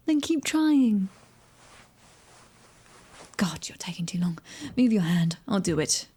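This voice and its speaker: A cocky British mercenary woman, played by a female ASMR performer, with a dark, husky voice. She taunts at an unhurried pace in an intimate roleplay manner, often sinking to a breathy near-whisper. Her temper is fiery and prickly. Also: medium pitch, exaggerated voice. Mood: menacing, taunting. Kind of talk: ASMR audio roleplay acting.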